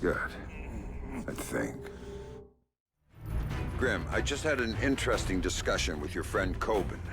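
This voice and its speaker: Deep, menacing renegade agent. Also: male voice, big pitch swings, a little slow, music in the background, heavily acted. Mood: grim. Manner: terse, menacing interrogator. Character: grim, threatening middle-aged male renegade former agent, avenging father. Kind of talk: video game cutscene acting.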